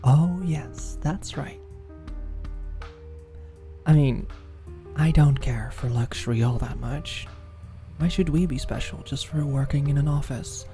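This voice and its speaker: An actor playing a teasing, caring British office manager in an intimate ASMR roleplay, speaking softly and breathily with a dry manner. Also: medium pitch, takes it slowly, long pauses, faint music behind. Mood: casual, dryly teasing. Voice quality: soft, breathy, often near-whispered. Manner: dry, gently teasing, solicitous.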